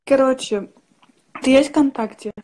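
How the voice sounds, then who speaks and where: A woman's voice: sits high, a little slow, darkish tone, rough recording. A young woman on a phone video call, casually chatting and insistently asking to be added as a friend. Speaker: video call guest on a livestream.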